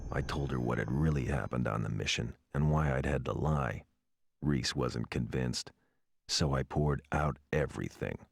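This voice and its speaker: A world-weary police pilot with an extremely deep voice full of gravel. He narrates in a terse, brooding noir style, deliberate and moody, for a video game. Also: no echo, heavily acted. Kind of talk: noir first-person scripted narration.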